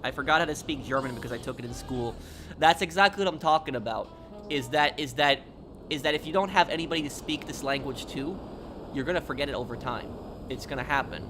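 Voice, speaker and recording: A man chatting casually on a gaming livestream, answering viewers in a halting, repetitive, emphatic explanatory way. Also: medium pitch, over music.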